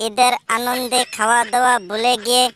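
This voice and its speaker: A dim-witted village schoolboy drawls his answers slowly in thick rustic speech, as a woman puts him on. The voice sits high and bright. Every word is stretched and unhurried. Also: little pitch movement, a little hiss or hum, natural voice. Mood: deadpan. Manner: slow drawling with rustic dialect.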